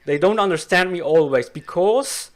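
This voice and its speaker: A Swiss man whose first language is Swiss German, speaking careful, slow learner's English as an interview guest. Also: high voice, animated. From Switzerland. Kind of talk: casual interview chat.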